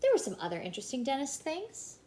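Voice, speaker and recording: A woman reading a children's story aloud in an expressive, animated way, pausing for emphasis. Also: high-pitched.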